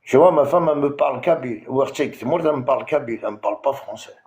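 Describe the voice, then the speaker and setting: A man's voice: mid-range voice, rapid-fire, darkish tone, reverberant. Heated man ranting live. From Algeria. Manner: confrontational, repetitive, full of hesitations. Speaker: TikTok live host. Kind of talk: heated live-stream rant.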